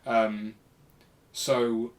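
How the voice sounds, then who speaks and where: A man's voice: mid-range voice, little pitch movement, drawn-out words, far from the mic. A man co-hosting a podcast in casual, informal chat, swearing freely as he talks. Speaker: podcast co-host.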